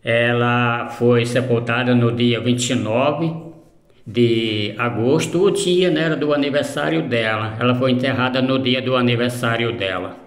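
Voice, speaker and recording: Yeah just a sad guy talking slow, halting, lots of pauses, echoey phone recording. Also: medium pitch. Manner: halting, somber, with fillers. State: grieving. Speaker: channel host. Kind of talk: grieving personal announcement.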